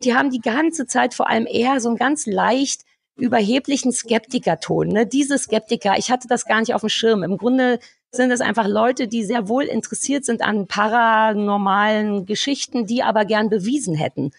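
A woman co-hosting a podcast, talking casually in an animated, blunt ranting manner with some swearing. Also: on the high side, normal speed, vocal fry, breathy edge, no room sound. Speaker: podcast co-host. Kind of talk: casual review chat.

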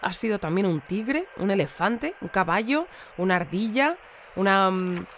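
An actress playing a polite, composed female news presenter, speaking earnestly and in measured phrases in an audio fiction bulletin. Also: medium pitch, expressive, a little hiss or hum, lightly acted. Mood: curious, polite.

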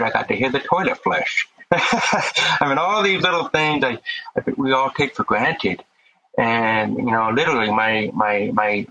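A man's voice: medium pitch, sharp tone, dull audio, a little hiss or hum. A man with a deaf accent, a podcast guest speaking earnestly about his life, sometimes repeating words as he starts. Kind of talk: casual interview talk.

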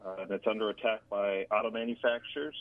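Over a narrow telephone line comes a man with a lowish voice. He is a radio interview guest who explains things haltingly, often repeating words. The sound is thin and dry. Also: fairly even intonation, dry recording. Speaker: guest, government affairs director. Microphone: phone call.

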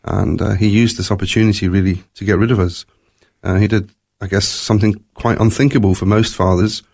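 A man with a low voice and a faint Scottish accent speaks as an interview guest. He tells his own story in a reflective, conversational manner. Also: fairly even intonation, fairly quick, darkish tone. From born in Scotland, moved to South Africa at three.

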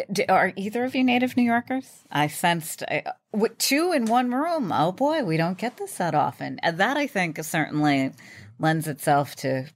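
A woman's voice: lowish voice, big pitch swings, moderate pace. A woman hosting a casual, conversational interview podcast. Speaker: podcast host.

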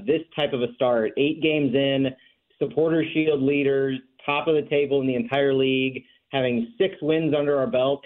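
A man speaking as a guest on a sports radio show, giving conversational analysis over a call-in line. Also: medium pitch. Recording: tinny phone-like line.

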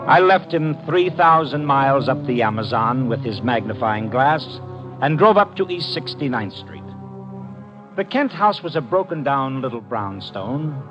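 An actor playing a brisk, tough detective's assistant, wry and hardboiled, in a 1950s radio drama. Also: male voice, medium pitch, animated, natural voice. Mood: wry narrating. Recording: muffled vintage radio with music.

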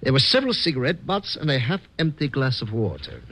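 A man's voice: medium pitch, huge pitch swings, a little fast, a bit bright, full character voice. A man playing a cautious, formal foreign police lieutenant in an old-time radio drama, speaking with careful hesitation. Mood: firm, official. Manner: formal, hesitant, uncontracted phrasing. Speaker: supporting actor in a radio drama. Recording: faintly noisy vintage radio recording.

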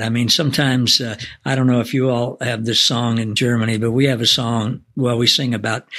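Husky-voiced man hosting a faith show. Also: mid-range voice. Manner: folksy, drawn-out, warm asides. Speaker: host.

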